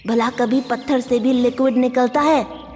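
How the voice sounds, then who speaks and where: A menacing cartoon cave ghost, played by a woman, with a high voice swimming in long reverb. It is a sly skeleton spirit from a children's story, with music playing underneath. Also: steady tone, a little slow, darkish tone, natural voice. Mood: challenging. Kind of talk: Hindi cartoon story dialogue.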